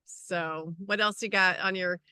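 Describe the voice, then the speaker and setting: Bright, slow-talking middle-aged woman. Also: fairly low pitch, steady tone, clean tone, no echo, clean studio sound. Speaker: co-host. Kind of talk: casual chat.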